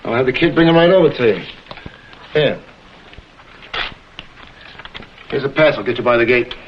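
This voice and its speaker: A man plays a worried amusement park owner in an old TV drama. He talks quickly in a brusque, businesslike way. The vintage soundtrack is dull and a little noisy. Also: mid-range voice, close to an everyday voice. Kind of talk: vintage screen drama acting.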